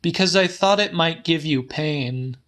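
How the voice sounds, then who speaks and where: Man voicing an earnest young woman, pitched high. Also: measured pace, a bit bright. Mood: earnest, tender. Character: Celia, candid, affectionate young woman, devoted granddaughter. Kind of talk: dramatic audiobook character reading.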